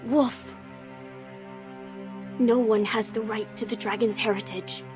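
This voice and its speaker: A woman voicing an earnest, noble young boy heir in a video game cutscene, formal and gravely resolute. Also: on the high side, steady tone, slow, a little mellow, faint music behind. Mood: resolute, sorrowful.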